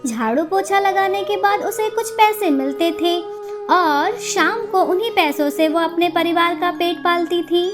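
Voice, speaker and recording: Very high-pitched woman narrating a story. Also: unhurried, music in the background. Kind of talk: scripted children's story narration.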